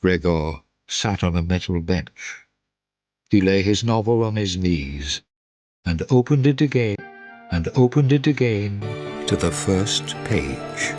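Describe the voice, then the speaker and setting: A man narrating a radio drama in a measured, deliberate, scripted style. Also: sits low, lively intonation, a little mellow, no echo, faint music behind.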